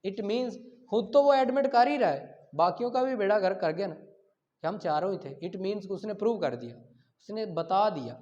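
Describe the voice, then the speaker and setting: A man's voice: high-pitched, animated, moderate pace, a little mellow. A man with a Pakistani accent giving an explanatory law lecture with examples, asking and answering his own questions and mixing in English terms. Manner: switches between Urdu and English legal terms. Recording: dull lo-fi sound.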